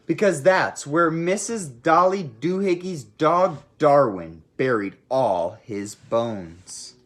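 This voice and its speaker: Expressive storybook reader with animated pitch. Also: slow pace. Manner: expressive, addresses young listeners directly. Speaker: teacher reading aloud to children. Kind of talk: friendly storybook read-aloud.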